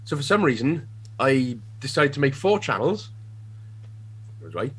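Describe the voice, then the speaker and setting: A Welsh man talks on a gaming podcast in a loose, self-mocking way, dropping swear words as he goes. His pitch rises and falls with a lot of life, and his voice has a slightly husky edge. He is heard over a remote call mic. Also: big pitch swings, normal speed, a bit bright. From Wales. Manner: swears freely, laughs at himself. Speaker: podcast guest. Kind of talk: casual podcast chat.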